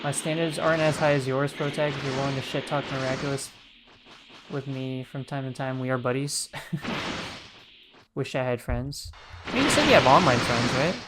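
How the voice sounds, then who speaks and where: A game streamer giving casual, playful commentary on play, with excitable outbursts. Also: medium pitch, normal speed, long pauses, heavy background noise. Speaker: livestreamer. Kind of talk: casual gameplay commentary with shouted move names.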